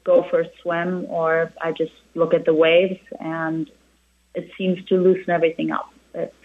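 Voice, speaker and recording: A woman's voice: deep voice, little pitch movement, moderate pace. A middle-aged woman from Germany, a German native speaker and restaurant owner, chatting as an interview guest with many restarts and "you know"s. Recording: phone-like narrow band.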